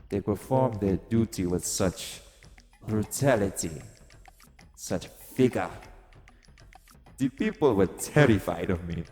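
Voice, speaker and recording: A man playing an exiled god of vengeance in an audio roleplay, theatrical and deliberate, coaxing and taunting with dark amusement. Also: on the low side. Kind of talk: dramatic audio roleplay monologue to the listener. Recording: long cavernous reverb. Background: music.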